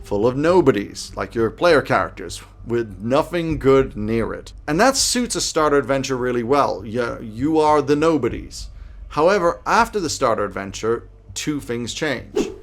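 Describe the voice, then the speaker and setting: A man's voice: medium pitch, big pitch swings, normal speed. A man in his thirties presenting scripted game master advice to camera from a small home office. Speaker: YouTube host giving game master tips.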